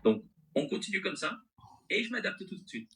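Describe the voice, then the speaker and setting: A man's voice: high-pitched, big pitch swings, normal speed, bright, forceful tone, a little hiss or hum. A male AI assistant voice with a mixed French accent and American-sounding intonation, replying in an agreeable, accommodating, conversational way.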